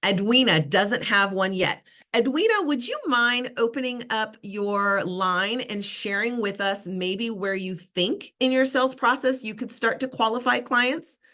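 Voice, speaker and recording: A woman's voice: on the high side, animated, measured pace, bright, forceful tone. A woman sales coach leading a live online class over a video call, upbeat and encouraging, calling on participants by name.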